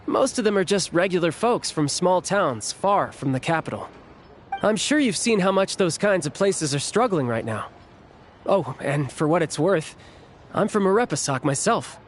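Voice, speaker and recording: A man's voice: fairly high pitch, slight background noise, natural voice. An actor playing a confident young military officer in a video game, easygoing and candid, earnest about his loyalties.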